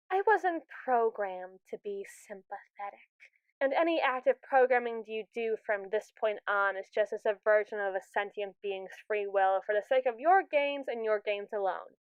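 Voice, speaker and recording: A woman's voice: medium pitch, clear, resonant tone, no room sound, muffled recording, natural voice. A woman playing a haughty, impatient evil robot who scorns humans in an ASMR audio roleplay, condescending, sarcastic and theatrical.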